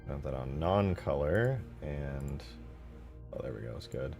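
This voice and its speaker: A man's voice: rumbling low, animated, measured pace, creaky, darkish tone, soft background music. A man chatting casually as a streamer at work, thinking aloud in informal, slangy fragments with casual swearing. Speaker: game modder streaming their work. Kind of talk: casual livestream chat while working.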